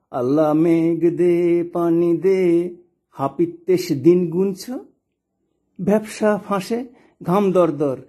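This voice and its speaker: Expressive man reciting poetry, softened bright edge. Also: high voice, breathy edge. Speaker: poet reading own poem.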